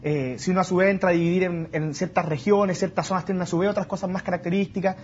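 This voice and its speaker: High-voiced man on a panel. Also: steady tone, fast talker, a little mellow. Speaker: youth panelist. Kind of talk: panel discussion. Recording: dull sound with faint background noise.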